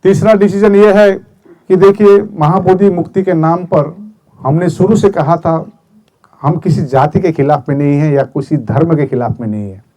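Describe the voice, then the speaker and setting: A man's voice: fairly high pitch, moderate pace, warm tone, rough recording. A man speaking as a movement spokesman at a press conference, earnestly setting out decisions one by one. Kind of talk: press statement.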